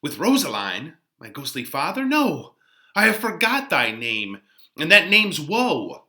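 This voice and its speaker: A man's voice: a little high, wildly swooping pitch, measured pace, sharp tone, voice pushed up, full character voice. A man playing a lovestruck, eager young man, declaiming Shakespearean verse effusively. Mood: elated. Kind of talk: dramatic reading of Shakespearean verse.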